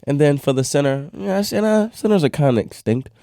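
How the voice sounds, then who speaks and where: A man's voice: a little high, expressive, a little fast, warm tone, no echo, clean studio sound. A man on a sports talk panel, chatting in casual slang with a playful, emphatic delivery. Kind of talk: casual, slangy basketball banter.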